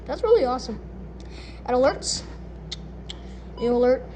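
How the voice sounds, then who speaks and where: A woman casually narrating an unboxing and setup in a halting way, muttering short phrases to herself. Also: high voice, lively intonation, dark tone. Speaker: unboxing video host. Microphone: handheld camera or phone, no tripod. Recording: noisy handheld home video recording.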